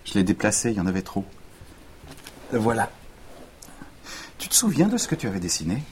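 A soft, patient secretary, low voice. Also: female voice, big pitch swings, brisk, slight background noise. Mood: gentle.

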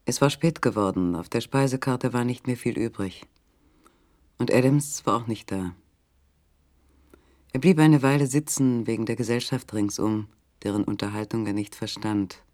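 An actor narrating a literary German radio play as a sober, measured third-person storyteller. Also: male voice, medium pitch, darkish tone, close to an everyday voice.

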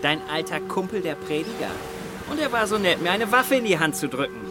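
Casting: understated tired survivor, slightly high voice, quiet radio-play delivery, music underneath. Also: male voice, very animated, fairly quick. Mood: quietly triumphant. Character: weary, resigned, self-sacrificing armed male survivor. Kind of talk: German radio drama acting.

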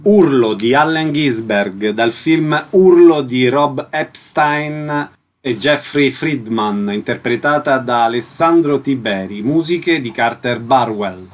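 A man's voice: medium pitch, slow, soft background music. A man hosting a radio programme, presenting from a script and putting short questions to a guest. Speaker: radio host.

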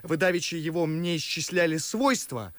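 An actor playing a witty, mocking young gentleman in theatrical verse for a radio drama. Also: on the high side, lively intonation, normal speed, no room sound, lightly acted. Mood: sardonic. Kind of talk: classic stage verse acting for radio.

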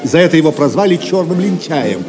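A man's voice: on the high side, animated, a little fast. A man narrating an audio play as a dramatic, theatrical storyteller with declamatory delivery. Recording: music behind the voice.

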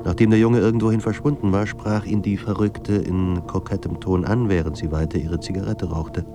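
An actor playing a sombre literary narrator in a radio drama, speaking in a measured, grave manner. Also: male voice, low voice, fairly even intonation, background noise. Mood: subdued. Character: sombre literary narrator of a troubled writer's life.

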